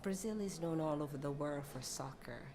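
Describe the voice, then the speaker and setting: A woman's voice: low-pitched, moderate pace, crowd noise. A woman interviewing a student guest for a class project, asking long, meandering questions.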